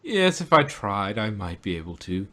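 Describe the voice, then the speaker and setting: A man in a casual podcast chat, his pitch swinging widely as he goes. He talks in a halting, jokey manner, starting and restarting as he banters. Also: sing-song, fairly bright tone. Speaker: podcast co-host.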